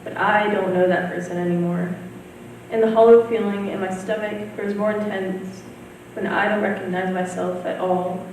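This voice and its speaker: Heavy echo, distant mic, teenage girl, medium pitch, student reading creative writing. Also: little pitch movement, normal speed, a little mellow. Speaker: student reader. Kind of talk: scripted poetic reading. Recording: very echoey room, distant mic, faint noise.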